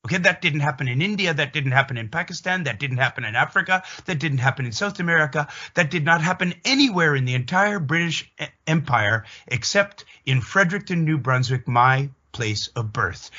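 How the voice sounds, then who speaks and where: A Canadian man from Atlantic Canada with a Canadian accent gives an opinionated, emphatic explanatory monologue, telling it deliberately like a story in an interview. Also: mid-range voice, bright, forceful tone, dull audio.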